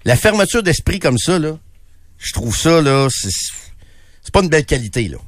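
A man's voice: a little high, big pitch swings, normal speed, dry recording, studio quality. A man with a Québécois accent co-hosting a radio talk show, opinionated and indignant, often restarting his sentences. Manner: emphatic, indignant, many restarts. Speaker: radio co-host. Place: radio studio.

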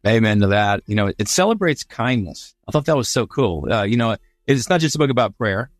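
A man's voice: on the low side, animated, a little fast, no room sound, clean studio sound. A man working as an interviewer, chatting informally and appreciatively as he comments and asks questions. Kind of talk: conversational interview questions and remarks.

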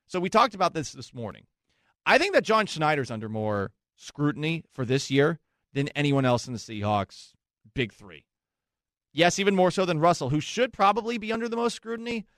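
A man's voice: fairly high pitch, a bit bright, dry recording. Young guy hosting sports radio, chatty and jokey, dry close mic. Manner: chatty, self-mocking, quick with asides. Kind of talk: casual sports talk radio.

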